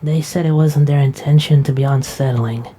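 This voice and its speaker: A woman's voice: low-pitched, steady tone, normal speed, mellow, slight background noise, close to an everyday voice. A woman playing a sleepy, protective young knight who talks in a drowsy, affectionate way. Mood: tired. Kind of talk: casual roleplay dialogue.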